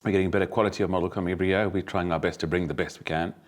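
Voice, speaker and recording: A man who runs a model agency, speaking in a brisk, businesslike way in a documentary interview. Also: low-pitched, fairly even intonation.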